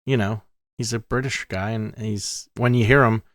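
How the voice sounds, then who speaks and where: A man co-hosting a podcast in casual chat, rambling and animated, stumbling over restarts. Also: lowish voice, normal speed, dry recording. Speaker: podcast co-host.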